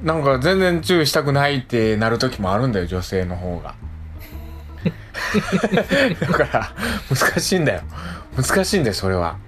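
A man's voice: mid-range voice, very animated, normal speed, fairly bright tone. A man co-hosting a radio show in casual, conversational Japanese talk, often agreeing with his partner. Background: background music.

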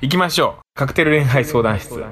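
A man co-hosting a radio show, chatting casually and colloquially, quick to agree and react. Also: medium pitch, animated.

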